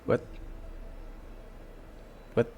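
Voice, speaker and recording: A man's voice: very slow, lo-fi sound, slight background noise. A man giving casual, informal reaction commentary as a streamer, musing aloud as he goes.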